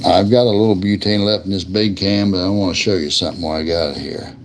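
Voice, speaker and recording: A man with a deep voice chatting casually and in a folksy, colloquial way as he hosts a hands-on demonstration of lighter repair. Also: steady tone, quick pace, noisy background. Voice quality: gravelly. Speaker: host of a lighter repair livestream.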